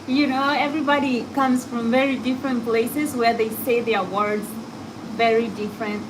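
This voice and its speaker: A woman with an African accent talks in a candid, reflective way, hosting a casual chat. Her voice sits a little higher than usual, with a clear hiss of background noise behind it. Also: fairly even intonation, moderate pace. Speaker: podcast host.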